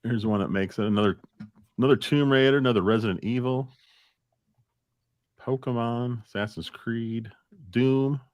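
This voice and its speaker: A man's voice: medium pitch, moderate pace, long pauses, a little mellow, no echo, muffled recording. A man in his fifties hosting a video game podcast in a casual, chatty way. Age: 50s. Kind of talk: casual chat.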